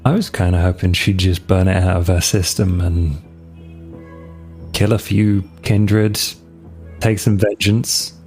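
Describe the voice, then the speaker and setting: A man with a low voice speaks slowly and hesitantly as his character in a tabletop game. His talk is casual and full of fillers. Quiet music sits behind him. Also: steady tone. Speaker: player. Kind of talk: in-character roleplay dialogue.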